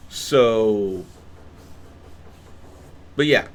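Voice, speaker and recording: A man's voice: on the low side, extremely slow. Man chatting on a game stream. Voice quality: rambling, hesitant. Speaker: gaming streamer. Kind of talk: casual live-stream commentary.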